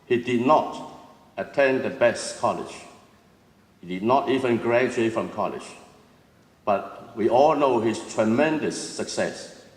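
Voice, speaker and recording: A man giving a measured, formal address to students at an opening ceremony. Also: mid-range voice, expressive. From Hong Kong. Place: large auditorium. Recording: echoey hall, long reverb.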